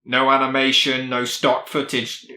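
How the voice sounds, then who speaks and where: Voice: medium pitch, monotone, a little slow, pushed and bright, reverberant. A reviewer giving scripted, sarcastic commentary in an exasperated, mocking and sweary manner. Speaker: reviewer, host.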